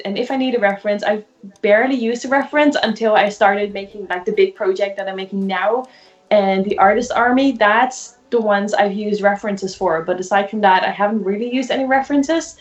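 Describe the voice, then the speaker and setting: A woman's voice: mid-range voice, muffled recording. Dutch woman with an Irish accent. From Netherlands. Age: 30s. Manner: chatty, laughs often. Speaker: guest illustrator. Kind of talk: casual chat.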